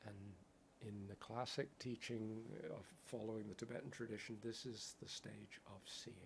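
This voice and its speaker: A man's voice: fairly low pitch, steady tone, normal speed, vocal fry, slight background noise. A man teaching in a contemplative dharma talk. He speaks in poetic phrases and often checks in with "right?". English is his first language. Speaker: Buddhist meditation teacher.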